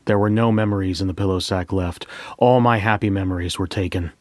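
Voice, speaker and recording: A man's voice: deep voice, fairly even intonation, fairly quick, no room sound, lightly acted. An actor playing a lovelorn, wounded man who confesses his loss in a dramatic first-person audio story monologue. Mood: wistful, puzzled.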